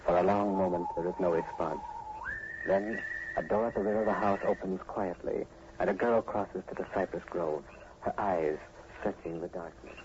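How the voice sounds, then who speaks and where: A man's voice: low-pitched, moderate pace, highs cut off, background noise. A man narrating a scripted 1940s radio broadcast as announcer, in a solemn, dramatic manner. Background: prominent music.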